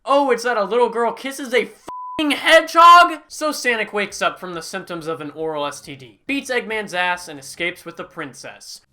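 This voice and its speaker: A sarcastic game reviewer doing a comedic voice-over in a bright, forceful voice. The delivery is mocking throughout and keeps breaking into loud, high-pitched outbursts. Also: expressive, moderate pace, slight background noise.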